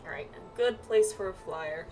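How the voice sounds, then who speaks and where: A woman's voice: fairly low pitch, moderate pace, dark tone, a little hiss or hum. A woman chatting casually through a game playthrough, reading the on-screen text aloud and stumbling now and then. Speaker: game streamer doing a playthrough. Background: game music.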